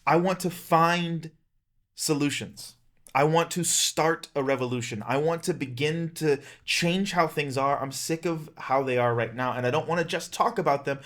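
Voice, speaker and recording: A man's voice: a little high, normal speed, a bit bright. A man in his thirties giving an earnest, emphatic personal rallying speech as a vlogger, in plain-spoken language. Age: 30s. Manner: impassioned, emphatic, plain-spoken with occasional swearing.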